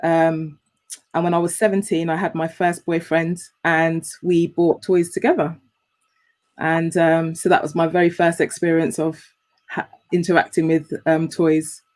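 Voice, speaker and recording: A woman's voice: low-pitched, level tone, measured pace, darkish tone. A woman, a guest on a video call, speaking frankly and laughing at herself in casual chat.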